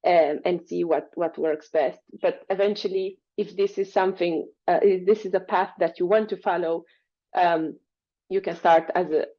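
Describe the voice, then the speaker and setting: A woman's voice: on the low side, fairly even intonation, normal speed. A woman from Romania, a freelancer guest, answering interview questions in a friendly, fluent way with some fillers. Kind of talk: interview answers over a call. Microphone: video call. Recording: dull lo-fi call audio.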